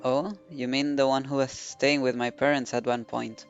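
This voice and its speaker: A man's voice: mid-range voice, little pitch movement, moderate pace, highs cut off, faint music behind. A man streaming a game, giving casual playthrough commentary and reading dialogue aloud.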